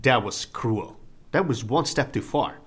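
An actor playing an earnest, mournful Italian mobster sidekick in a comic audio drama, solemn and literal-minded, with an Italian accent. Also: male voice, mid-range voice, animated, measured pace, fairly bright tone, breathy edge.

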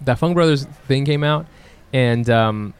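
A man co-hosting a casual podcast, talking haltingly and repeating words as he thinks. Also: medium pitch, clean tone. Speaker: podcast co-host.

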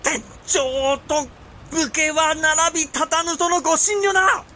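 A man acting a haughty, gloating warrior ruler in a period voice drama, with a pompous, theatrical, drawn-out delivery. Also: extremely high pitch, sharp tone, a little hiss or hum, full character voice. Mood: imperious.

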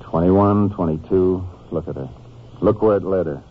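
Casting: actor for male Texas Ranger lead, very low voice, terse, polite, resolute, muffled hissy vintage radio sound. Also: normal speed, lightly acted. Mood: grave. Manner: matter-of-fact, clipped, polite. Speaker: lead detective and case narrator. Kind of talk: old-time radio crime drama, terse.

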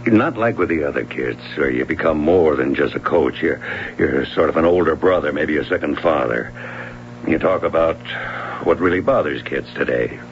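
A man acting in a 1970s American radio drama as a matter-of-fact, resigned swimming coach, measured and dry. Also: very deep voice, expressive. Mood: reflective. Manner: measured, dry, plain-spoken. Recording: muffled radio recording with hum.

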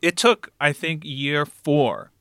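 A man interviewing in a casual, animated way, thinking aloud and checking in with his listener as he goes. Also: fairly high pitch, slow pace, smooth, no room sound, clean studio sound. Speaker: podcast interviewer and show founder.

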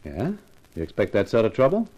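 An actor playing a cocky, wisecracking hard-boiled private eye with a breezy, wry delivery in a 1950s radio drama. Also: male voice, fairly low pitch, huge pitch swings, fairly quick, darkish tone, breathy edge, muffled recording, heavily acted. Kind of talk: 1950s radio detective acting with narration.

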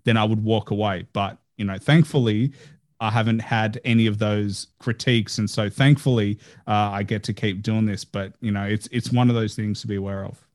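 A man's voice: fairly low pitch, fairly even intonation, normal speed, a little mellow. A man hosting a podcast interview, chatting in an earnest way with frequent "like" fillers. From Australia. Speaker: podcast host. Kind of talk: casual interview chat.

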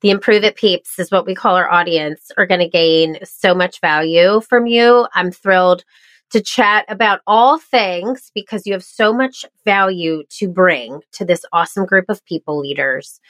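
A woman's voice: fairly low pitch, moderate pace, a bit bright, no room sound. A woman hosting a podcast interview, her voice nasal and congested after the flu, talking in an upbeat, enthusiastic way. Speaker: podcast host.

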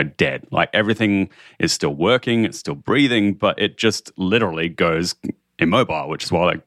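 A man's voice: sits low, a bit bright, dry recording, clean studio sound. A man hosting a podcast as a marine biologist, reading an upbeat intro and then explaining at length.

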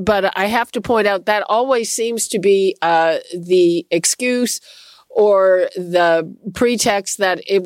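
A woman hosting a radio call-in show, measured and hesitant, with frequent fillers. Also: mid-range voice, no room sound. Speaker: radio talk show host.

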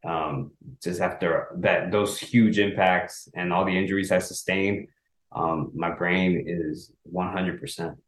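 Casting note: young man, very low voice, earnest and halting, originally from El Salvador, roomy echo. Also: fairly even intonation, a little hiss or hum. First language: Spanish. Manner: earnest, halting with restarts and small laughs. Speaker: guest, author. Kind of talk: casual interview.

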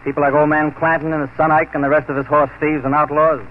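A steely frontier lawman, played by a male actor in an old radio Western. He speaks in a slightly high male voice, dry, firm and unflappable. The recording is dull, with faint noise. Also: little pitch movement, fast, close to an everyday voice. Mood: dry, resolute. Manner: firm, dry, plain-spoken. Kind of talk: 1940s Western radio drama acting. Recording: muffled, noisy 1940s radio recording.

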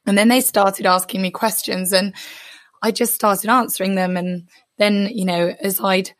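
A hoarse woman, a psychotherapist, speaking reflectively and candidly as a podcast guest. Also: mid-range voice, steady tone, normal speed, dry recording. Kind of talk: casual interview.

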